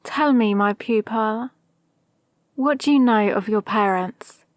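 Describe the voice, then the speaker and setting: A woman playing a scheming, power-hungry villain mentor in an ASMR roleplay, gently menacing, with measured, formal delivery. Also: mid-range voice, no echo, studio quality, lightly acted. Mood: probing, composed. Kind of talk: scripted ASMR roleplay monologue.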